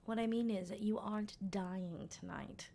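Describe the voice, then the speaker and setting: A woman playing a teasing, sardonic female vampire captor in an ASMR roleplay, speaking in an unhurried, breathy half-whisper. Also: mid-range voice, fairly even intonation, warm tone, slight background noise, close to an everyday voice. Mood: wry, toying. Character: playful, menacing female vampire captor, decades old.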